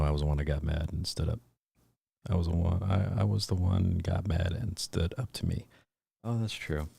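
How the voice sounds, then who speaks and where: A man with an extremely low, gravelly voice and a husky edge talks loosely on his own podcast stream. He wanders through his thoughts with fillers and false starts. The sound is dry and close to the mic. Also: darkish tone, no echo. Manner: rambling, hesitant, many fillers and restarts. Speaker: podcast host. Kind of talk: casual livestream chat.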